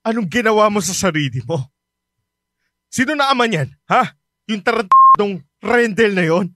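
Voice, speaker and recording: A man's voice: high-pitched, lively intonation, normal speed, pushed and bright, dry recording, clean studio sound, exaggerated voice. A man playing a stern, anguished working father in a radio drama, emotional and exasperated. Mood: shocked.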